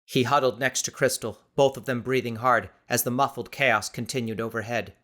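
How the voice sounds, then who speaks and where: A man reading dramatic scripted audiobook narration in a measured, suspenseful manner. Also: medium pitch, steady tone, a bit bright.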